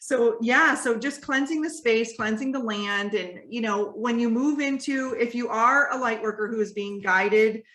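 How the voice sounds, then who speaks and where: A woman hosting a casual spiritual podcast chat, talking loudly and with animation and laughing often. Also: on the high side, moderate pace, few pauses, roomy sound. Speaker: podcast host.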